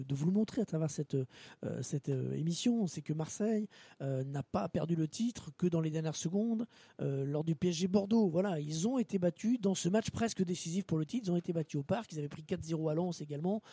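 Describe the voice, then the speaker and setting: A man's voice: on the high side, dark tone, slightly rough, no echo. A man hosting a football discussion, recapping past events for the panel. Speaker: host. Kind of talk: retrospective football talk show discussion.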